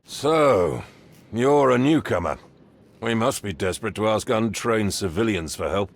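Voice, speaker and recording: A veteran police sergeant in a video game, stern and condescending. The voice is full of gravel and moves emphatically up and down as he gives gruff orders. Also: lowish voice, animated, a little slow. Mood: scornful.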